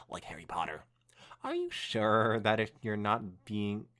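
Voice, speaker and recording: A man voicing a skeptical, bookish female pony friend, questioning and measured, in a fan fiction reading. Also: fairly low pitch, fairly bright tone.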